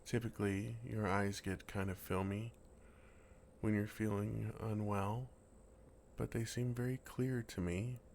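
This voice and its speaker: A man playing a gentle, affectionate, innocently oblivious dragon in an intimate, earnest ASMR roleplay. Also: on the low side, steady tone, a little slow, creaky, a little hiss or hum. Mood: tender concern. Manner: earnest, softly teasing, innocently puzzled.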